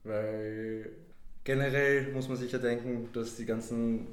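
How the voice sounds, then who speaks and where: A man's voice: mid-range voice, steady tone, measured pace, echoey room. A man in his twenties, a podcast guest, answering thoughtfully. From Vienna, Austria. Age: 20s. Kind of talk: casual podcast chat. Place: podcast studio.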